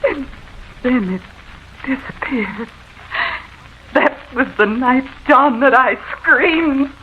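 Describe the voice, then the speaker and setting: An actress playing a nervous, haunted wife, telling of her ghostly terror in a halting, confessional way, in old-time radio horror style. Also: sits high. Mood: panicked, gasping. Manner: dramatic, halting, confessional first-person narration. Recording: muffled, noisy old radio recording.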